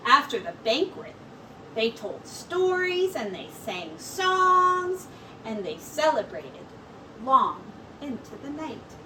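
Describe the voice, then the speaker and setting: A woman teacher reading a storybook aloud to children in an expressive, deliberate way with drawn-out words. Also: high voice. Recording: distant mic with clear background noise.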